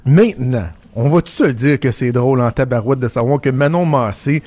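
A man's voice: medium pitch, a little fast, a little mellow. A man with a Québécois French accent, a political commentator chatting casually and telling anecdotes with frequent restarts. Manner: storytelling, many restarts and repeated words. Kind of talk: casual radio chat.

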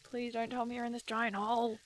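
An actress playing a sardonic, excitable young woman who grumbles and swears her way through an audio drama adventure. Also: a little high, fairly quick, slight background noise, lightly acted. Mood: reluctant, uneasy. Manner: sarcastic, grumbling, casually sweary. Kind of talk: naturalistic audio drama dialogue.

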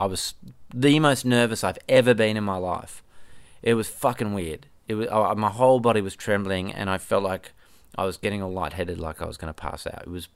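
A man's voice: lowish voice, gravelly, clean tone, dry recording. An Australian man from Perth hosting his own podcast, chatting casually and rambling, often correcting himself and swearing now and then. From Perth, Western Australia. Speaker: podcast host and musician. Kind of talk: casual solo podcast chat.

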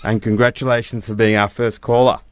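A man's voice: fairly low pitch, level tone, a little fast. A middle-aged man hosting a radio show, chatty, explaining things patiently with plenty of fillers. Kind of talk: casual radio chat.

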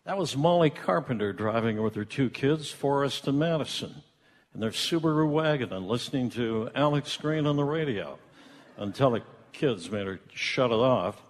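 A man's voice: mid-range voice, lively intonation, moderate pace. Folksy male storyteller in a hall. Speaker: narrator. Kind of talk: folksy storytelling narration for a live radio play. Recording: echoey theatre hall.

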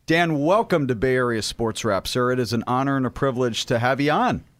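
A man's voice: mid-range voice, brisk, no echo. A man hosting a sports show, giving a formal, courteous introduction. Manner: courteous, ceremonious.

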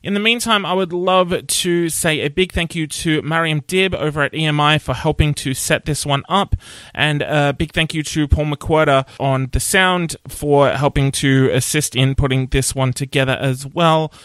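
A man's voice: fairly high pitch, moderate pace, few pauses, fairly bright tone, smooth, no echo. A man hosting a music podcast, chatting enthusiastically and laughing often. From Australia. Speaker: podcast host. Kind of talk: casual interview chat.

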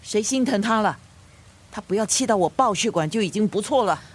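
A woman playing a gruff but loving grandmother of nearly seventy in a radio drama, with a scolding, grumbling manner. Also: medium pitch, animated, fairly quick. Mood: stubborn grumbling. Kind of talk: Chinese radio drama acting.

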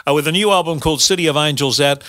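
Bright-voiced male radio host. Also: on the high side, racing, no room sound. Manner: chatty, sets up segments. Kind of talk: radio talk.

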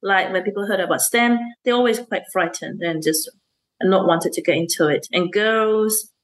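A woman's voice: medium pitch, moderate pace, poor audio. Woman answering with many fillers. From Malaysia. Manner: hesitant, many fillers and restarts, laughs often. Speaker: STEM teacher and councillor. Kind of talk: casual interview answers.

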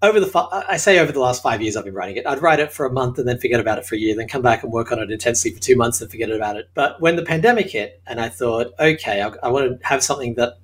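An Australian man speaks at a quick clip in a middle-pitched voice. He tells his story to an interviewer in an upbeat, laugh-prone way. He is recorded from his apartment over a remote call. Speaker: creator of an audio sitcom, interview guest. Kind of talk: casual interview chat. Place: home apartment.

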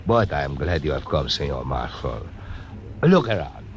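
An actor playing a boastful, excitable casino owner with a Spanish accent, in a 1940s radio drama. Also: male voice, rumbling low, moderate pace, creaky, a little hiss or hum, exaggerated voice. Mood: florid,wheedling. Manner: theatrical, florid, swooping phrases.

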